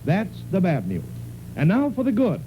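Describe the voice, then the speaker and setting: A man's voice: high-pitched, wildly swooping pitch, normal speed, dark tone, slight background noise, poor audio. A male radio announcer reading a scripted vintage show announcement on an old recording.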